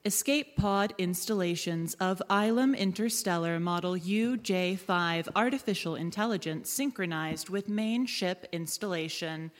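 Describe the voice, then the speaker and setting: A woman playing an impassive ship's artificial intelligence in a live science fiction audio drama, reading out data logs in a slow, measured, robotic way. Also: lowish voice, natural voice. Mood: flat, impassive. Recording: echoey hall, live stage.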